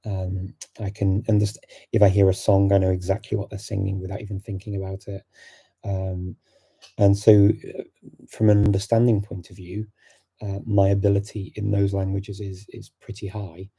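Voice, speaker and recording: A middle-aged man hosting a live-stream Q&A, answering viewer questions in a thoughtful, unhurried way full of fillers and restarts. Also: low-pitched, level tone, vocal fry, warm tone, breathy edge. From England.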